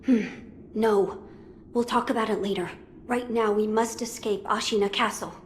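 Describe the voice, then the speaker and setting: A woman voicing a calm, polite young noble boy in a video game cutscene, speaking formally with gentle authority. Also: medium pitch, long reverb tail, slight background noise, lightly acted. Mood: calm, concerned. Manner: formal, measured, gently commanding. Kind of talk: English-dubbed video game cutscene acting.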